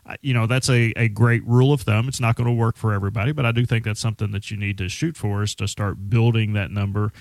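A man's voice: on the low side, fairly even intonation, fast, vocal fry, hardly any pauses, no room sound. A man speaking as a financial advisor on a radio show, conversational with frequent restarts. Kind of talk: casual radio talk.

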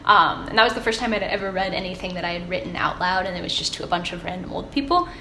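A young woman from Texas who interviews a guest in a relaxed, casual way, with some fillers. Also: fairly low pitch, quick pace. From San Antonio, Texas. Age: young adult. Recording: roomy with faint ambient noise.

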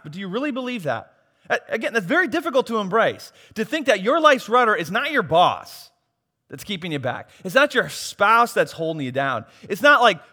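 Hoarse preacher with a bright voice in a reverberant hall. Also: male voice, high-pitched. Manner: swings from soft asides to loud emphatic preaching. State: losing his voice. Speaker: pastor. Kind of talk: sermon.

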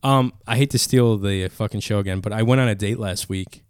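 A man's voice: lowish voice, fairly quick, smooth, no echo, studio quality. A young man chatting casually as a podcast co-host, laid-back, swearing freely, with a mid-register voice that blends into background noise. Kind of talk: casual chat.

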